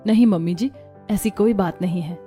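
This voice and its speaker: A woman voicing a gentle, earnest young daughter-in-law in a cartoon, speaking politely. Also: mid-range voice, moderate pace, faint music behind, lightly acted.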